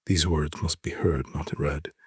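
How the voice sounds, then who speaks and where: Husky, low-voiced man reading literary fiction. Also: steady tone, unhurried, no room sound, clean studio sound. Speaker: narrator reading short stories.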